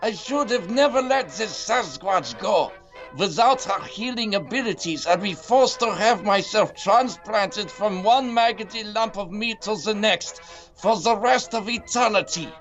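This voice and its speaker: High-pitched, slow-talking rotting villain. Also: male voice, animated, a bit bright, dull audio, faint music behind. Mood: bitter, sneering. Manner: theatrical, bitter, grandiose ranting. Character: villainous Hitler brain inside a rotting, frail stolen body. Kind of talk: audio drama villain acting.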